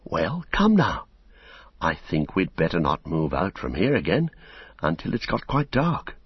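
A man voicing a weary, cautious, gentle male hobbit in a storybook, speaking in a hushed, measured and grave manner. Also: low-pitched, wildly swooping pitch, slightly rough, no room sound, muffled recording, heavily acted.

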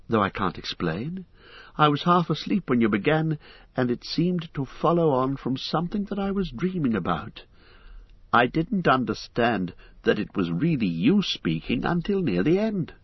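A man voicing a dreamy, soft-spoken young male hobbit who talks quietly and hesitantly. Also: medium pitch, big pitch swings, moderate pace, husky, dry recording, highs cut off, pitched above the performer's own voice.

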